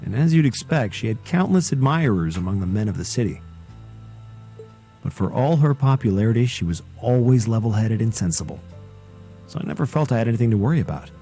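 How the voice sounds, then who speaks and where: Game role: guarded, earnest young man, soft dark tone, measured and articulate storyteller. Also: fairly low pitch, animated, soft background music. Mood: wistful. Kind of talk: video game voice acting, solemn storytelling.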